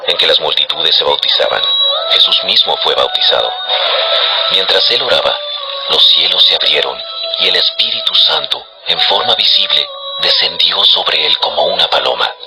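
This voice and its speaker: A man narrating scripture as a scripted dramatization, in measured, declarative storytelling. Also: low-pitched, huge pitch swings, over loud music, rough recording.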